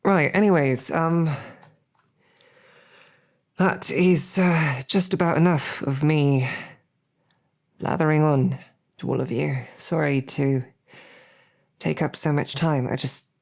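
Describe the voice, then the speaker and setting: A woman playing a lovestruck royal knight in a romantic fantasy audio roleplay, sweetly awkward, halting and playfully snarky. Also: deep voice, creaky, darkish tone, full character voice. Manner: halting, flustered, rambling.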